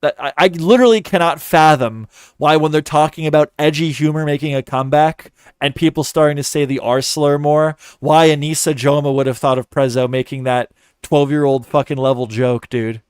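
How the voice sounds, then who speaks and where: A man with a fairly high, crisp voice rants in mocking commentary. He is sarcastic and swears freely. The sound is dry and close. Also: fairly even intonation, brisk, hardly any pauses, a little mellow, dry recording. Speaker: commentary host.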